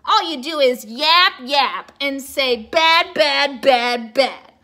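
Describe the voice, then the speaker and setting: A woman's voice: sits high, animated, slow, exaggerated voice. A woman voicing a greedy, self-important male factory owner in a storybook, blustering and hammering his words. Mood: angry, defiant. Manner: blustering, emphatic, repeats words forcefully.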